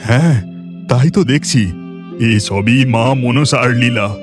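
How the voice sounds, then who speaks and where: Cartoon village husband, played by a man: mid-range voice, kind, devout, earnest, over clear background music. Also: medium pitch, slow, natural voice.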